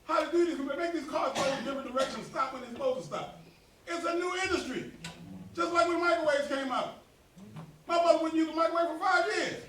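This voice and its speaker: A high-voiced man leads a community meeting in a roomy hall, urging the crowd with emphatic, rising repetition. He sounds a little off the mic, with a faint hum of the room behind him. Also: fairly quick, distant mic. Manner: emphatic, preacher-like, rising to near shouts. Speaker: association president hosting a meeting. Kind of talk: animated speech to a community meeting.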